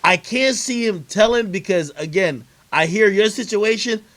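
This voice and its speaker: A high-pitched man hosting a livestream debate. He talks in loose, profane street slang. He presses his points insistently and repeats them. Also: normal speed, fairly bright tone. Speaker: host of a livestream interview. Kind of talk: casual heated argument on a stream.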